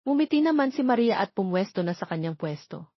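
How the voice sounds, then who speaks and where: A woman's voice: mid-range voice, big pitch swings, fairly quick, breathy edge, no echo. Dull-sounding Filipino woman narrating romance. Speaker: audiobook narrator. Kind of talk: scripted romance story narration.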